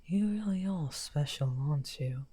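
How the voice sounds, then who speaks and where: A man playing a misunderstood outcast necromancer wizard, smugly amused and taunting, in an unhurried, breathy, often half-whispered ASMR roleplay. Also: fairly high pitch, animated, dark tone. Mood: intrigued, coy. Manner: unhurried, taunting, drawn-out phrasing. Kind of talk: slow theatrical ASMR roleplay.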